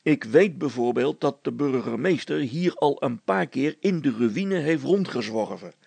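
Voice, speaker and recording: A man's voice: mid-range voice, animated, unhurried, vocal fry, a little mellow, breathy edge, no room sound, exaggerated voice. A man voicing a self-important, gossipy village policeman who talks in a hesitant, confiding and bossy way in a storybook reading. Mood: secretive. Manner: hesitant, confiding, bossy, stammering over words.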